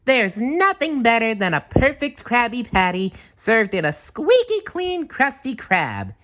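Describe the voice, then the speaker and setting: A man voicing an excitable, earnest cartoon sponge fry cook in a squeaky, exaggerated storybook voice. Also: unhurried, heavily acted. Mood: cheerful.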